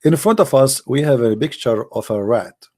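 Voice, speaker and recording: A man's voice: mid-range voice, animated, normal speed, warm tone. A man hosting a call-in show, speaking English as a second language with emphatic, mocking sarcasm. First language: Arabic. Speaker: show host. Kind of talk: live call-in show commentary.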